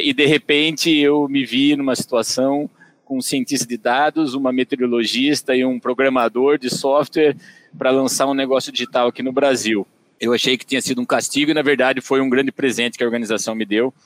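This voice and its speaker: A middle-aged Brazilian man with a caipira accent from inland São Paulo, speaking in a measured way with many hesitations as a guest in an interview. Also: medium pitch, fairly bright tone. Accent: countryside São Paulo (caipira). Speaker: guest, company director. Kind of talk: conversational interview.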